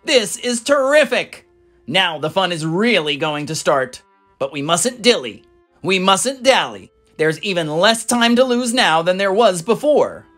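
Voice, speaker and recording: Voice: medium pitch, big pitch swings, a little slow, fairly bright tone, faint music behind, voice pushed up. A storybook reader voicing an eccentric, cheerful man who urges others on with exuberant exclamations. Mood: gleeful, urging. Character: eccentric, cheerful, gleefully hurried man, a chocolate factory owner.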